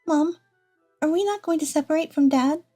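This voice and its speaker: An anxious little girl, acted by a woman narrator, pleads with worried questions in a storybook drama. The voice is high and soft, over faint music. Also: moderate pace, lightly acted. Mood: anxious, pleading. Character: young girl, nine, anxious pleading daughter.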